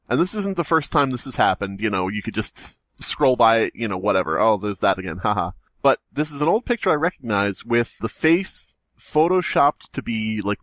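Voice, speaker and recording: A man's voice: fairly low pitch, no echo. A man hosting a podcast, telling stories in a casual, sarcastic, sweary comic chat. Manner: animated, sarcastic storytelling, profane. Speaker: podcast host.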